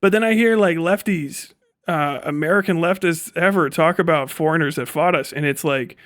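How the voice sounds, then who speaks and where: A man's voice: a little high, normal speed, fairly bright tone, dry recording, clean studio sound. A man giving a casual livestream rant as host, swearing freely in slangy, sarcastic talk and often laughing as he speaks.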